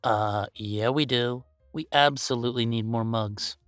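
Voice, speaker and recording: A man's voice: mid-range voice, unhurried, a bit bright, dry recording, studio quality, faint music behind, lightly acted. A man playing a playful, easygoing young werewolf boyfriend in a casual roleplay audio, chatting in a teasing, emphatic way.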